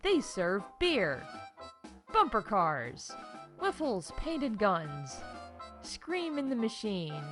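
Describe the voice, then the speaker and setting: A woman playing a mock-salesy sponsor announcer in a parody commercial, with drawn-out emphasis and deadpan punchlines. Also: on the high side. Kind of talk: parody commercial read, scripted.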